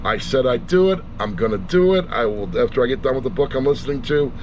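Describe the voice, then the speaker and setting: A man's voice: mid-range voice, fast talker. A middle-aged or older man giving a casual, rambling review in a wry, self-deprecating way, as the host of his own channel. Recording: steady loud air-conditioner-like background hum.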